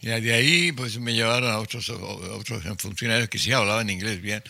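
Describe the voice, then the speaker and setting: An older writer tells stories in an interview with a gravelly, husky voice. His delivery is forceful and tense, and he often restarts sentences and acts out what people said. The recording is dry and close. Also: lowish voice, a little fast, no room sound. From Chile. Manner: vivid storyteller, acts out quotes, frequent restarts. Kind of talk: storytelling in an interview.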